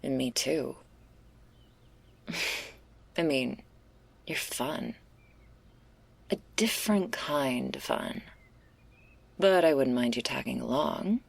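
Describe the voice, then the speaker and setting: A woman playing a laid-back werewolf woman, gravelly creak, husky rasp, guarded yet flirtatious, casual slang, intimate ASMR roleplay. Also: a bass voice, animated, slow, long pauses, heavily acted. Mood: coaxing, flirty. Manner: casual, hesitant, slangy confiding.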